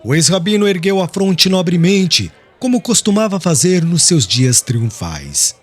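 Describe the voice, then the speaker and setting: A man with a Brazilian accent narrating a literary audiobook in an expressive, scripted style. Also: sits high, unhurried. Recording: music bed under the voice.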